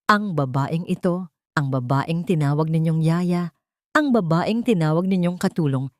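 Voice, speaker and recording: A woman's voice: on the low side, no room sound. Woman acting as remorseful rich son, audio story, emphatic, emotional, devoted, dry close sound. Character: remorseful wealthy grown son, fiercely devoted to his mother.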